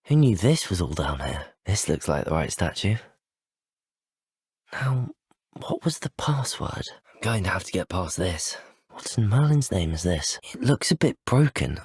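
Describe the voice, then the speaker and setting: A man's voice: deep voice, very animated, a little slow, a little mellow, breathy edge, no room sound, clean studio sound, full character voice. A young man with a British accent playing a polite, curious, self-assured teenage wizard student in a fantasy video game, well-spoken and courteous. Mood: curious, musing. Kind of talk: scripted video game voice acting.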